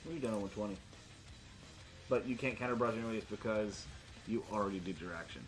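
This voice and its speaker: A man's voice: fairly low pitch, quick pace, slight background noise, poor audio. A man acting as game master in a casual tabletop session, narrating and bantering with a joking, foul-mouthed manner.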